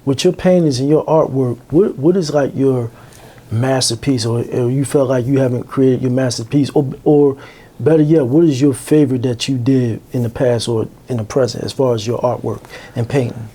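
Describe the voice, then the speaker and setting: A man's voice: medium pitch, fairly even intonation, moderate pace, dark tone, breathy edge. A man hosting a podcast interview in a laid-back way, using slang and lots of fillers. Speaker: podcast host.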